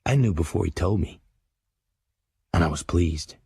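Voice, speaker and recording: A man's voice: low-pitched, animated, normal speed, gravelly, mellow, breathy edge, no echo, studio quality, heavily acted. An actor playing a grown writer who grimly recalls his boyhood, narrating a drama in a hard-boiled voice. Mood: grim, reflective. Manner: hard-boiled, matter-of-fact. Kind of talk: scripted first-person drama narration.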